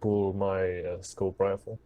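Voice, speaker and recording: Male roleplaying player, very low dark voice, halting casual delivery. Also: little pitch movement. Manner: hesitant, halting. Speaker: player in tabletop roleplaying game. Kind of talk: casual game-table chat.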